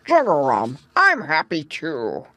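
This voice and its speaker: Woman playing a gruff old grandfather frog, wise, croaky-rough, lower than the narration, dry close sound, storybook. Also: huge pitch swings, takes it slowly, bright, forceful tone, dry recording, heavily acted. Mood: content.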